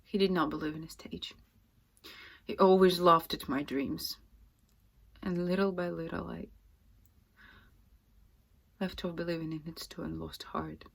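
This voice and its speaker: A woman playing a fragile, distraught young actress in a dramatic, halting stage monologue. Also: fairly low pitch, fairly even intonation, full character voice. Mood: sad, broken. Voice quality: breathy, often dropping to whispers. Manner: halting, intense, swinging from hushed to loud.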